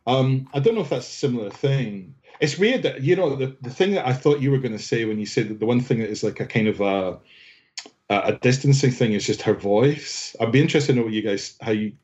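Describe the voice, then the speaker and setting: A man with a mid-pitched voice rambles casually about music, tossing in lots of fillers and jumping around in pitch when something excites him. The sound is somewhat dull and lo-fi. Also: fairly quick. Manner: rambling, animated, filler-heavy. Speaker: podcast co-host. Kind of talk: casual album discussion.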